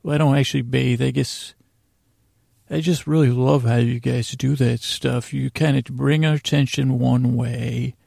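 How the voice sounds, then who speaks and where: A man hosting a solo bedtime podcast in a meandering, halting monologue, often interrupting himself and drifting into asides. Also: medium pitch, steady tone, dark tone, dry recording, studio quality. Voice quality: gravelly, creaky. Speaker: podcast host.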